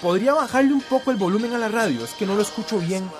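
A man's voice: high-pitched, animated, fast, over music. A man playing a concerned, practical friend in a radio drama, earnest and explanatory. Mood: insistent.

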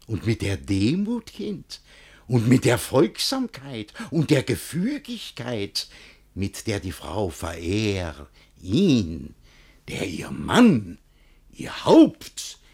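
Male radio-play role: pompous middle-aged guardian, preachy and bossy, husky, very animated pitch, theatrical verse. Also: full character voice. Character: domineering, self-satisfied older guardian lecturing his young ward. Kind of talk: German radio play acting in rhymed verse.

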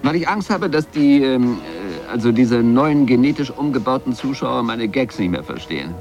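An actor in a radio drama playing a flirtatious, evasive comedian who rambles with playful irony. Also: male voice, medium pitch, very animated, normal speed, a little mellow. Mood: wry. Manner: playful, rambling, ironic, stop-and-start hesitations. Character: glib male comedian, flirtatious, wisecracking, evasive under questioning. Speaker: comedian being interviewed. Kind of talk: 1980s German radio drama acting. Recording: music behind the voice.

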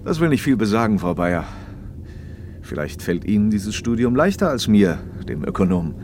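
An actor playing a paternal, probing factory manager in a radio play, speaking in a measured, slightly didactic way. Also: male voice, fairly low pitch, big pitch swings, darkish tone, slight background noise. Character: socialist factory manager, paternal, probing, talkative male official. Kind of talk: naturalistic German radio play dialogue.

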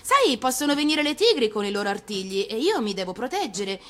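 A woman's voice: a little high, very animated, a little fast, fairly bright tone, pitched above the performer's own voice. A woman voicing a vain, proud, coquettish flower in a storybook, with affected, demanding airs. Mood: demanding, coy.

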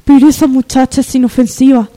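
High-voiced plain-spoken maid, acted by a woman. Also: level tone, quick pace, dark tone. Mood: wary. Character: plain-spoken maid with an old secret debt. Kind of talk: parody radio soap opera acting.

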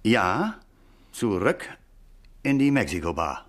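An actor playing an eager, hesitant taxi driver witness in a 1950s radio crime drama, chatty and hemming. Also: male voice, on the low side, sing-song. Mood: halting, recalling. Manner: halting, hemming, chatty, small nervous chuckles.

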